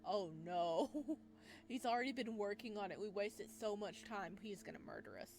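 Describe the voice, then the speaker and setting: A woman playing a visual novel, reading its text aloud and breaking in with excited reactions. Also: medium pitch, moderate pace, noisy background. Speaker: game streamer.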